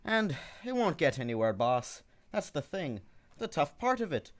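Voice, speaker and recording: A man acting a streetwise newspaper reporter in a community radio drama, blunt and excitable. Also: on the high side, moderate pace. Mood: worked up. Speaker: radio actor. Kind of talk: old-time radio drama.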